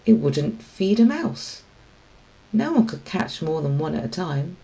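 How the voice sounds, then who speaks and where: A woman's voice: low-pitched, big pitch swings, unhurried, dark tone, dull audio, full character voice. A woman voicing an earnest, unsure young male cat hero in a children's storybook reading. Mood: apologetic. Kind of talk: children's storybook read aloud.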